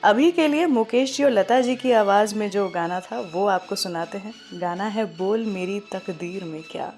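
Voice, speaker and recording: A woman's voice: medium pitch, normal speed, darkish tone. Indian woman radio host over music. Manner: conversational storytelling, addressing listeners with questions. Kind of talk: scripted radio presenting with film trivia and song introductions.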